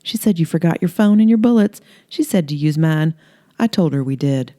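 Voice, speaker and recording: A woman's voice: sits low, animated, no room sound, studio quality. A woman voicing a feisty, brash woman from a trailer park who loves pink and talks back sassily, in an audiobook reading. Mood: sassy. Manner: sassy, bold, quick to yell.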